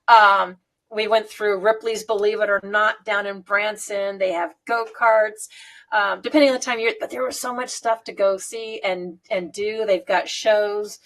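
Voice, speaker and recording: A woman's voice: medium pitch, fairly even intonation, normal speed, a bit bright. A woman in her fifties from the United States, chatting casually, rambling and laughing often. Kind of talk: casual chat.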